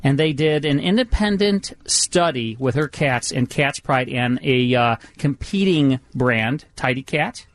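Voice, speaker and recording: A man's voice: medium pitch, animated, a little slow, hardly any pauses. A man hosting a radio show in informal, conversational chat, prompting his guests as he goes.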